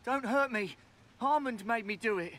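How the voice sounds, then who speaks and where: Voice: mid-range voice, normal speed, fairly bright tone, lightly acted. An actor voicing a terrified, cowardly underling in a video game, pleading in a panicky, stammering way. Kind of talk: video game character acting.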